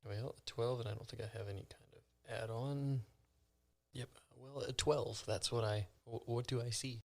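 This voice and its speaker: A man's voice: medium pitch, steady tone, moderate pace, creaky. A man playing a tabletop role-playing game, chatting in a hesitant, easygoing way. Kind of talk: casual in-character role-play chat.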